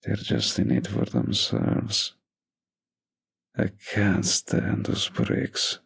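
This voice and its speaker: An actor playing a doting, dominant young mafia heir in an intimate boyfriend roleplay, with a gravelly, husky voice and a murmured, teasing, possessive manner. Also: male voice, very deep voice, very animated, very slow, exaggerated voice. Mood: disgusted, contemptuous.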